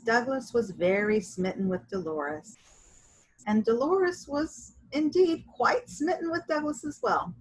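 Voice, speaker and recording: A woman reads a children's storybook aloud with lots of expression. Her voice sits fairly high and swings widely in pitch. She goes slowly and stretches words for emphasis. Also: slightly rough, rough recording. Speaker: storybook reader.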